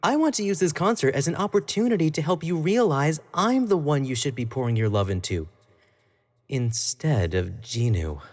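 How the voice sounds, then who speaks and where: A man's voice: fairly high pitch, animated, normal speed, darkish tone. An actor playing an obsessive, clingy young male pop idol in an audio roleplay, talking to the listener in a coy, playful, doting way. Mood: coy, indulgent. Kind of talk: scripted roleplay monologue to the listener.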